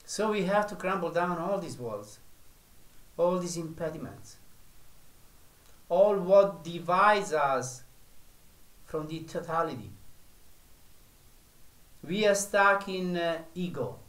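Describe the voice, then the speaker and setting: A male spiritual teacher preaching in a slow, deliberate way, leaving long pauses between phrases. His voice sits a little high for a man. The room around him rings with echo. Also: expressive, fairly bright tone.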